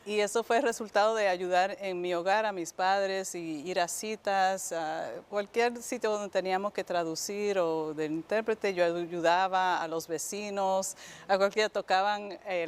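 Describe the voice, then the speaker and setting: A woman's voice: medium pitch, clear, resonant tone. A woman from New York, answering interview questions conversationally as a guest judge, searching for words and slipping in English fillers. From New York, United States.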